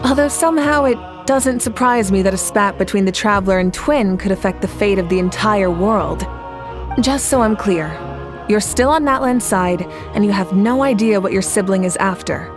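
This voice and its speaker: A woman voicing a calm, commanding leader. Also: mid-range voice, over music, lightly acted. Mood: steady. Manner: measured, reassuring, authoritative. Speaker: leader of a nation at war. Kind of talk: video game voice acting.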